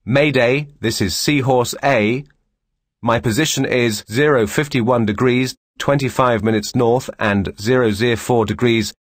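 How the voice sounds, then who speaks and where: A man reading scripted instructional narration as a course instructor, measured, formal and clearly enunciated. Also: mid-range voice, no room sound, studio quality.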